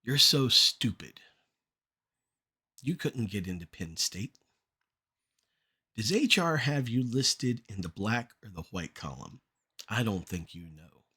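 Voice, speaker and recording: A middle-aged man performing rhymed spoken-word poetry in a measured, deliberate delivery with short phrases. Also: fairly low pitch, big pitch swings, sharp tone, breathy edge.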